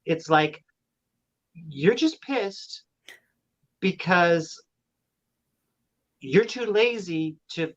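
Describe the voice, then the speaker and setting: Outspoken woman in a podcast chat, lower voice, kinda muffled mic. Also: animated, extremely slow, pauses often. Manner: blunt, opinionated.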